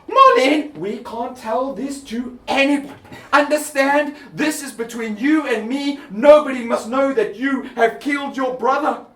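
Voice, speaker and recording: A man voicing a frantic, scheming mother, shrill and commanding. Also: animated, a little slow, a bit bright, heavily acted. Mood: hushed urgent. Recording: echoey room, distant mic, background noise.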